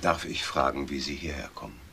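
A man's voice: extremely low pitch, a little fast, creaky, heavy background noise. A man playing an indignant, protective elder brother and company boss in a German crime radio drama. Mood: uneasy.

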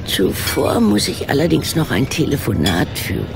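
An actor playing a patient, methodical amateur detective in a German audio drama, with measured, precise, earnest delivery. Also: male voice, mid-range voice, huge pitch swings, creaky, loud music behind the voice. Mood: earnest, reasoning.

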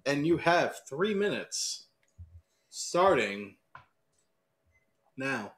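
A man's voice: on the high side, sing-song, extremely slow, a bit bright. A man moderating and judging a debate in a casual hosting style.

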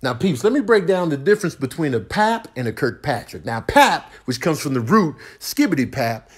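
A man voicing a pompous older man giving a mock lecture in a deliberate, folksy, emphatic way. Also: fairly high pitch, big pitch swings, husky, voice pushed down. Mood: reflective, emphatic. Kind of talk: mock-lecture comedy voiceover.

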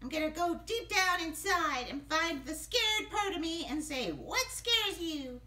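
Casting: tiny whiny ghost kid, extremely high voice, swooping and excitable, for a children's puppet show. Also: male voice, unhurried, echoey room, exaggerated voice. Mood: dismissive. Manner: whiny, exclaiming, repetitive.

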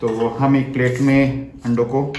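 A man's voice: medium pitch, level tone, measured pace, warm tone. A man in his thirties, a chef, giving a step-by-step cooking demonstration in a home kitchen while working with his hands. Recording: echoey kitchen, faint background noise.

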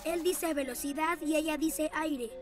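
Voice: high-pitched, steady tone, normal speed, close to an everyday voice. An actor voicing an earnest, determined little boy hero in a Latin American Spanish cartoon dub. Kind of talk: animated cartoon dub acting. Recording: loud music under the voice.

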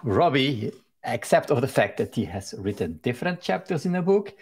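Man, 30s, Belgian accent, mid pitch, friendly host, headset on a video call. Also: sing-song, normal speed, slightly rough. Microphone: headphones with mic on a video call.

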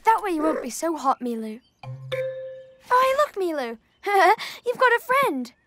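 A performer voicing an excitable little boy rabbit in a children's cartoon, childlike, exclamatory and playful. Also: very high-pitched, a little slow, exaggerated voice. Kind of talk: children's animated cartoon voice acting. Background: children's music.

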